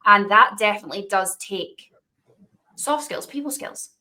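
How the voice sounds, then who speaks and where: A woman's voice: medium pitch, lively intonation, unhurried, sharp tone. A woman hosting a podcast interview, chatting casually and checking in with "right?" as she goes. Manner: friendly, frequent "yeah" and "right?". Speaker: podcast host.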